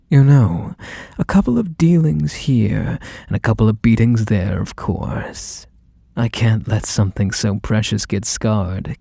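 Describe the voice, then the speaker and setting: Guy doing a possessive mafia boss asmr thing, dark mellow gravelly voice, slow n coaxing but kinda threatening. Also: fairly low pitch, no room sound, clean studio sound, full character voice. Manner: coaxing, drawn-out endearments, softly menacing. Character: possessive, manipulative male mafia boss, sweet-talking and menacing. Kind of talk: ASMR roleplay, intimate monologue to the listener.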